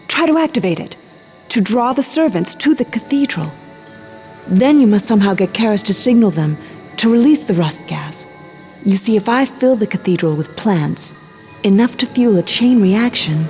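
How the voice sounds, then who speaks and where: An actress playing a grave, composed and mysterious woman ally in a video game, speaking in a measured, formal way. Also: mid-range voice, a little mellow, close to an everyday voice. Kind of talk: video game cutscene acting.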